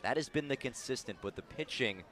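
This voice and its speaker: A man delivers live baseball play-by-play for radio. His voice is bright and forceful at a middling pitch, and he describes each moment in detail, lifting his delivery as the action builds. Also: brisk. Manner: descriptive, rising excitedly on big plays. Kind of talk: live radio sports commentary.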